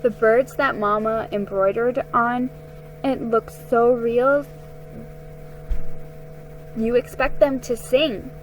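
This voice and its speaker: A woman's voice: on the high side, fairly even intonation, slow, long pauses, soft background music, low-quality recording. A woman voicing an eager, sweet young girl in a children's storybook, with an earnest, wide-eyed manner. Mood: happy, hopeful.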